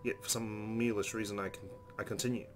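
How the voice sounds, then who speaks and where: A man's voice: lowish voice, level tone, fairly quick, slight background noise. A man hosting a let's play, reading visual novel narration aloud expressively and adding his own commentary. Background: soft game music.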